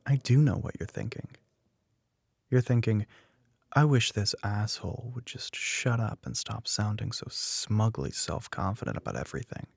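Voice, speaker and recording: A man playing a smug, teasing, telepathic young male classmate in an ASMR roleplay, with a husky, breathy, often whispered voice. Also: on the low side, animated, no echo, clean studio sound, heavily acted. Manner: smug, teasing, drawn-out knowing remarks.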